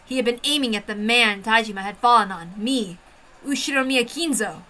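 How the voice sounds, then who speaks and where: A woman reading a first-person story aloud with an expressive, intense dramatic delivery. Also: on the high side, moderate pace. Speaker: amateur story reader.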